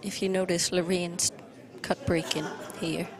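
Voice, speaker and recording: A woman commentates on pool in a chatty voice, trailing off and picking herself up again. Her voice sits low and gravelly, with a flat, level delivery. A steady noise runs under the commentary feed. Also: unhurried.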